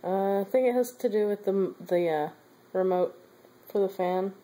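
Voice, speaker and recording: Brief: middle-aged mom, patient explainer, easy laughs, home phone recording. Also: female voice, mid-range voice, normal speed, warm tone. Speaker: mother and vlogger. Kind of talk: casual family chat. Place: home room with an ant farm. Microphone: phone camera.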